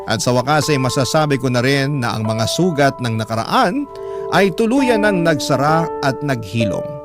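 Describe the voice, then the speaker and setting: A man's voice: mid-range voice, expressive, moderate pace. Filipino male storyteller over prominent music. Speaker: radio storyteller reading a listener's letter. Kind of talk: dramatic scripted letter narration. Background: music bed.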